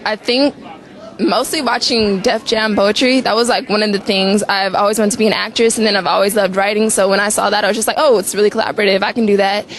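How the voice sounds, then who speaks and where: A woman's voice: medium pitch, steady tone, quick pace, slight background noise. A young woman from California, chatting eagerly in a casual interview. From Venice, California. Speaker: young poet.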